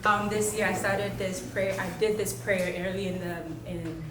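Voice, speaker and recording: A woman's voice: mid-range voice, fairly even intonation, moderate pace. A young woman born in Haiti giving a heartfelt short testimony that builds up in lists. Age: young adult. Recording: echoey hall with noise.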